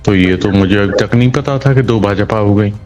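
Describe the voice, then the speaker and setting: A man's voice: lowish voice, moderate pace, a little mellow, soft background music. A man answering an interviewer in a colloquial way, often repeating phrases for emphasis. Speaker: local political party member being interviewed.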